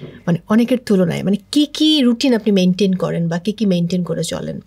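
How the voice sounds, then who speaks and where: A woman's voice: on the low side, a little fast, gravelly, darkish tone, slightly rough, no room sound. Bangladeshi woman interviewer, close dry sound. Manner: mixes English words into Bengali. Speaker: talk show host. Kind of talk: conversational television interview.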